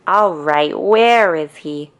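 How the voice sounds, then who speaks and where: A woman's voice: on the low side, slow pace, smooth. A woman voicing an impatient, indignant little girl who complains emphatically in a children's storybook. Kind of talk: children's storybook read-aloud.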